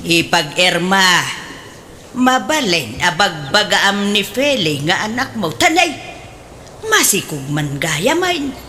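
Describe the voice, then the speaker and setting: A woman's voice: on the low side, very animated, takes it slowly. A woman playing a pious, nagging aunt in an Ilocano radio comedy drama, speaking emphatically with an Ilocano accent. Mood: dismayed, gossipy.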